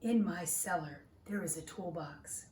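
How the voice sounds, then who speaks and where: Slow-reading woman in an echoey room. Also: fairly low pitch, darkish tone. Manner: deliberate, simple explanatory phrasing for small children. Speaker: teacher reading a picture book to young children. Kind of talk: children's story read-aloud. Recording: roomy echo, distant mic, faint noise.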